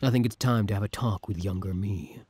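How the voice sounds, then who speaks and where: A man playing an obsessive, lovesick older villain from the future in an audio roleplay, commanding and intimate, with a husky voice. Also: fairly low pitch, fairly quick, a little mellow, no room sound. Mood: wistful. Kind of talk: scripted ASMR roleplay acting.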